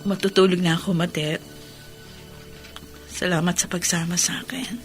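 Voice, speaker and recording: A woman's voice: fairly low pitch, level tone, faint music behind, natural voice. A woman playing a grieving, anguished widow in a Filipino radio drama, pleading tearfully. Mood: weary, subdued. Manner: emotional, tearful, drawn-out pleading. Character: grieving widow, anguished, haunted by her husband's death.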